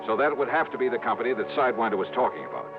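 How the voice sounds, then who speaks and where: A man's voice: mid-range voice, sing-song, racing, over loud music. An actor playing a wry, hardboiled male insurance investigator who also narrates, in 1950s radio drama style. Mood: wry, breezy. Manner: punchy, wry, emphatic. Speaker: lead detective and narrator. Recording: tinny, noisy old radio recording.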